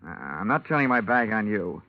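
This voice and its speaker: An actor playing a deadpan, sardonic insurance investigator in a 1940s-style radio detective drama. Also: male voice, lowish voice, brisk, no echo. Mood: cool, probing. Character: wry hard-boiled male insurance investigator, cool and commanding. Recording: dull old radio recording with music.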